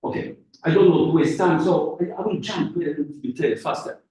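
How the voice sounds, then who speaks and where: A male guest lecturer explains physics in a halting way, often restarting his phrases. His voice is slightly high and mellow. It sounds distant and echoey, as if picked up from across a large room.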